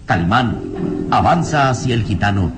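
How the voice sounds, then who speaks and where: A man's voice: lowish voice, expressive, moderate pace. A man giving dramatic scripted narration for a radio serial in a theatrical, suspenseful manner. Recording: loud music behind old radio serial. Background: dramatic music.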